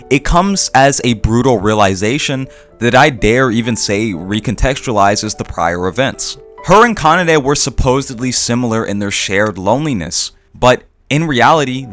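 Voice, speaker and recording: A man narrating a scripted video essay in a reflective, measured way with deliberate pauses. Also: mid-range voice, a bit bright. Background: background music.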